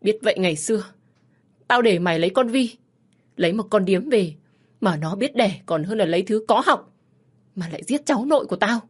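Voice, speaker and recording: A woman voicing a bitter older mother-in-law who berates her daughter-in-law in a high, loud voice. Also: lively intonation, fairly quick, pauses often, husky. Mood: wailing, furious. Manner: shrill, berating.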